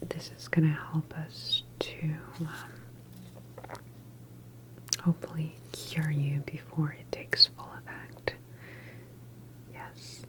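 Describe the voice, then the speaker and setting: A woman playing a calm, reassuring doctor in an ASMR medical roleplay, speaking in a soft, breathy whisper with gentle, hesitant phrasing. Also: sits low, takes it slowly, long pauses, poor audio. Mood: soothing, sympathetic. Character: calm, reassuring female doctor at a government facility.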